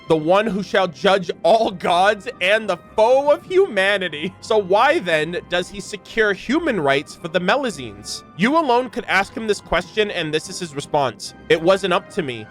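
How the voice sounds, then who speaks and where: Higher-voiced man reacting over music. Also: a bit bright. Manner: enthusiastic, slangy, informal. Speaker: content creator reacting to game lore. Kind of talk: casual reaction commentary mixed with reading lore text aloud. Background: background music.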